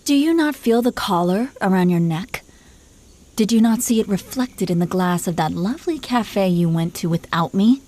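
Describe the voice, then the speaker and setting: A haughty dragon queen, acted by a woman in a mid-pitched voice, speaking intimately to the human she has made her husband. She is imperious and possessive, yet doting and playful. Her delivery is that of a scripted romantic audio roleplay, full of teasing and superior airs. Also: expressive, moderate pace, a little mellow, lightly acted. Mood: possessive, smug. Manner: imperious, teasing, affectionately condescending. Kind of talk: scripted roleplay monologue spoken to the listener.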